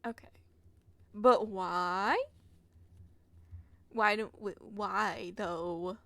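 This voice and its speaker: A woman's voice: medium pitch, fairly even intonation, extremely slow, vocal fry, a bit bright, no room sound. A young adult woman giving casual, giggly, sweary livestream commentary over a game, rambling and exclaiming. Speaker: game streamer.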